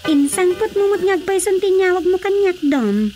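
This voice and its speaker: A woman's voice: extremely high pitch, expressive, normal speed, dark tone, faint music behind, low-quality recording. A woman playing a curious, gossipy young village woman in radio drama dialogue. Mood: concerned.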